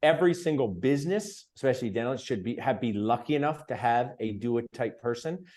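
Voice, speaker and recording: A man's voice: mid-range voice, expressive, brisk, darkish tone. Eager man joining a video call. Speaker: business owner joining a call. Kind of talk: enthusiastic testimonial.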